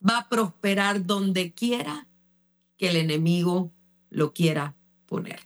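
A woman from Panama preaching a broadcast sermon, fervent and exhorting, at times rising to a shout. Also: on the high side.

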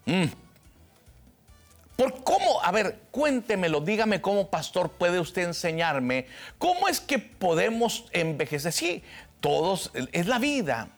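Fervent high-voiced preacher in his 60s. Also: sing-song, slow pace, faint music behind. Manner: fervent preaching, swings from soft to loud emphatic. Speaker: pastor. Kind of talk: televised sermon.

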